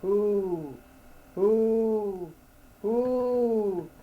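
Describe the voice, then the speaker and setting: A man imitating an owl hooting from high up in a tree, as part of a storybook reading. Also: drawn-out words, phone-quality sound.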